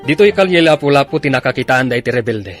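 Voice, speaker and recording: A man's voice: mid-range voice, expressive, fast, music in the background, lightly acted. A man with an Ilocano accent playing a stern, commanding military officer in a radio drama, barking with authority.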